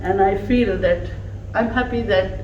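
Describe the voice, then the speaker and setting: A woman whose first language is Urdu giving a slow, heartfelt tribute speech at a farewell gathering. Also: mid-range voice, lo-fi sound. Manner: slow, warm, emphatic, laughing between phrases. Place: office gathering room. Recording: echoey room from far off, faint noise.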